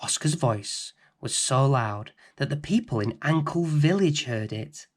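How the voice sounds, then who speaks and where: A man reading a children's bedtime story aloud as host, in an expressive, dramatic, theatrical manner. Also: medium pitch. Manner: dramatic, theatrical, deliberate phrasing.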